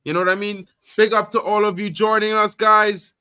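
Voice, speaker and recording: A man from Trinidad hosts a casual live football stream, talking loudly and excitedly with repeated catchphrases. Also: high-pitched, a little fast, clean tone, no room sound, clean studio sound. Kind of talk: casual live sports chat.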